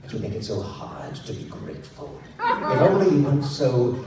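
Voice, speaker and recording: A man's voice: mid-range voice, sing-song, normal speed, pitched above the performer's own voice. Guy doing a formal stiff emperor from an audiobook, earnest n fed up, distant noisy room. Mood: exasperated. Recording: echoey noisy room, recorded from far off.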